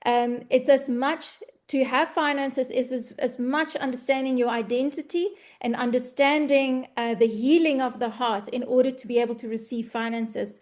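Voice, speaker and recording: A woman's voice: sits high, fairly even intonation, moderate pace, hardly any pauses, a little mellow. A woman from South Africa with an Afrikaans accent, Afrikaans her first language, giving an earnest, heartfelt webinar presentation with frequent ums and restarts. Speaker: presenter of an online business meeting.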